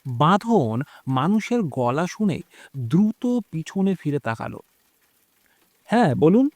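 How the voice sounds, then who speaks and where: A man narrating a scripted horror story in a dramatic, expressive storytelling manner. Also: a little high, slow, no room sound. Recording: music behind the voice. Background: background music.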